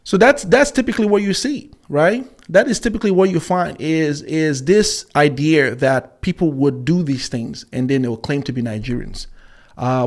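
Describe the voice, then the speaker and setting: A man giving unscripted opinion commentary as a host, haltingly, repeating words and often checking in with "right?". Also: on the high side.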